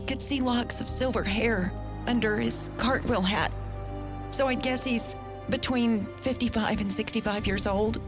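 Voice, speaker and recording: A frightened female witness from a mystery game, played by a woman, speaks in a fairly high voice. Her delivery is slow, halting and theatrical, with faint music behind it. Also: natural voice. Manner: dramatic, halting. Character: shaken, frightened female witness recounting a horror. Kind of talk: video game voice acting.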